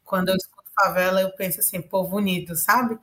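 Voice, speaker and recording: Uma mulher brasileira de trinta e poucos anos, convidada de podcast e organizadora comunitária, falando de forma reflexiva e recomeçando frases. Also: female voice, medium pitch, steady tone, quick pace, sharp tone. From Brazil. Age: 30s. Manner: thoughtful, restarts phrases. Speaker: guest, community organizer. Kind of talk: podcast conversation.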